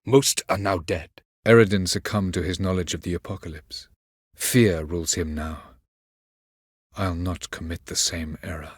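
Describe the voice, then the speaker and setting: An old elf sage for a fantasy game, deep voice, super dry recording, snooty and cold, very measured and formal. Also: male voice, steady tone, breathy edge, no room sound. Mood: cold, scornful. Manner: measured, formal, haughty delivery. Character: ancient male elven sage, aloof, condescending, coldly calculating. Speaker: video game character voice actor. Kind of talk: scripted video game dialogue.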